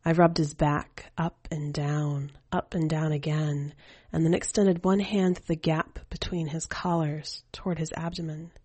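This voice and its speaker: A woman narrating scripted fiction as a story reader, with a measured, hushed delivery. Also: low voice, little pitch movement, slightly rough, dry recording. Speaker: podcast editor and story reader.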